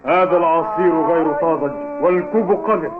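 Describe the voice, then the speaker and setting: Over clear music, in thin phone-like sound, comes a man acting a rude cartoon customer. His voice is pitched high, and he complains in a bossy, haughty way. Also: a little fast, lightly acted. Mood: haughty, annoyed. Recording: tinny band-limited audio with music behind.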